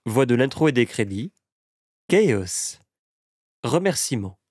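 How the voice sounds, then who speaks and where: A man's voice: mid-range voice, big pitch swings, slow pace, darkish tone, dry recording. A man reading scripted credits as an announcer, close to the microphone.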